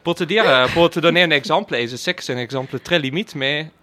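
A man's voice: on the high side, fast talker, pushed and bright. A man from the Netherlands speaking French with a Dutch accent, chatting playfully on a talk show with frequent fillers and laughs. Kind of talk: chatty radio column.

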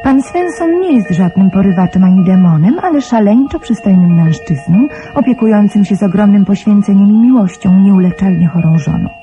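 An actress playing a polite, trusting young woman pharmacist in a radio drama, soft-spoken, courteous and earnest. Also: medium pitch, lively intonation, fairly quick, hardly any pauses, highs cut off, soft background music, lightly acted. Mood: wistful, admiring.

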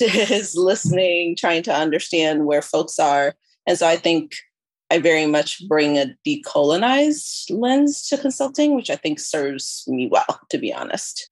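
A woman's voice: deep voice, dry recording. A woman from Ethiopia who moved to the US as a child, answering podcast interview questions in a reflective, explanatory, conversational way. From born in Ethiopia, moved to the US at about eleven. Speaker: podcast guest, consulting firm chief executive.

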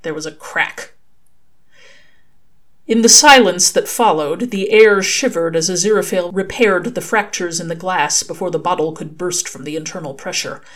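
A woman's voice: lowish voice, normal speed, a bit bright. A woman reading a scripted story as its author, with a wry manner. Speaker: author reading their own fan fiction.

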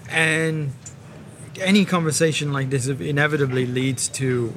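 A man's voice: a little high, steady tone, a little slow, a bit bright, slight background noise. A man from California working as an interviewer, talking casually and thoughtfully while searching for his words. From California, United States. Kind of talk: casual, reflective conversation.

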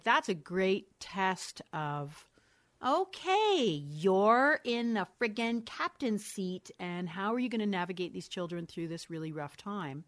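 A middle-aged woman, a mother of three, talking candidly and thoughtfully as an interview guest, often restarting her sentences. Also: fairly low pitch. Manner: candid, thoughtful, frequent self-corrections and laughs. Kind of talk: reflective conversational interview.

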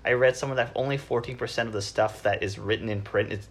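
A man chatting casually as a podcast guest, with an animated, self-deprecating, rambling manner. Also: lowish voice, quick pace, husky. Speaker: podcast guest, library worker. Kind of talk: casual conversational interview.

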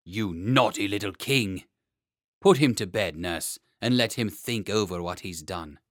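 A man's voice: lowish voice, a little slow, fairly bright tone, no echo, studio quality. A man voicing a stern, indignant and pompous court Chancellor in a storybook. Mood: angry.

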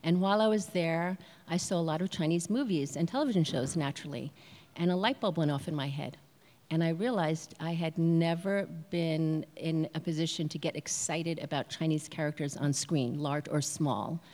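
A woman's voice: deep voice, normal speed, hardly any pauses, a little mellow. An American woman film producer telling her story on a panel, reflective and earnest. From United States. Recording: reverberant hall.

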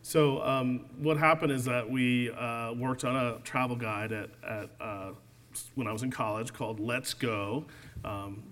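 Author at a reading event, male, mid-pitched, measured and deliberate delivery. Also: steady tone, a bit bright. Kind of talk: reading from his book, then conversation. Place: event space with an audience.

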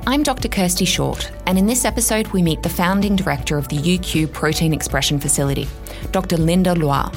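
Woman, podcast host, lowish voice, friendly questions, faint music behind. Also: a little fast. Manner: friendly, brief encouraging interjections. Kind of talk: interview podcast.

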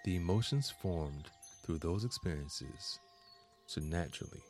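A man narrating a scripted guided meditation as host, in measured, deliberate phrases with frequent pauses. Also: deep voice, animated, a little mellow, faint music behind.

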